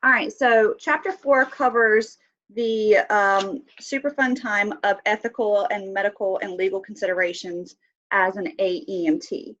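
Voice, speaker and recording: Woman instructor, sniffly from a cold, mid pitch, lecturing with fillers over a slightly muffled call. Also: fairly bright tone. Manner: asks students questions, many fillers. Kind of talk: online class lecture.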